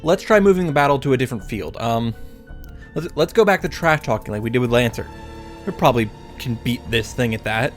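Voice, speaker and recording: A man's voice: mid-range voice, animated, fairly quick. A man giving casual, sweary, exasperated commentary as he reacts to a visual novel. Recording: music behind the voice. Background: music.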